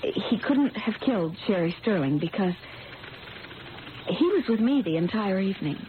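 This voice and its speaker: A woman's voice: medium pitch, expressive, normal speed, lightly acted. Actress as earnest young woman, tinny radio sound. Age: young adult. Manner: insistent, naive sincerity. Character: earnest, idealistic, stubbornly loyal young woman. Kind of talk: old-time radio drama acting. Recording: tinny, noisy old radio broadcast.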